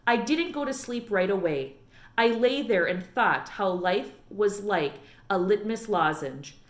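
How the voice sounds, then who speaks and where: A woman teacher narrating a children's storybook as an expressive read-aloud. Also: medium pitch, reverberant. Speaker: elementary teacher.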